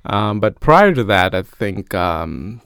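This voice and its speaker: A man from India whose first language is Telugu, speaking as a guest in a relaxed interview. His low voice has a gravelly yet smooth texture. He thinks aloud, pausing on fillers, in a dry, close recording. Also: no room sound. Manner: thoughtful, many fillers. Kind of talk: conversational interview.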